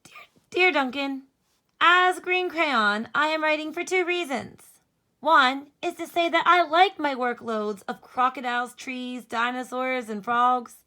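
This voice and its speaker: Happy, well-mannered storybook crayon, woman reading. Earnest and formal, highish, unhurried. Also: sharp tone. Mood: courteous, earnest. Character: contented, courteous green crayon playing peacemaker.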